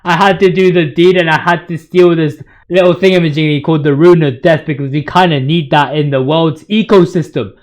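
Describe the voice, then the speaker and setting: Casting: male gaming host, noticeably high voice, slangy and fanboyish, big on exaggeration and emphasis. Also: steady tone, fairly quick, few pauses. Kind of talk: casual, slangy fan commentary on game bosses.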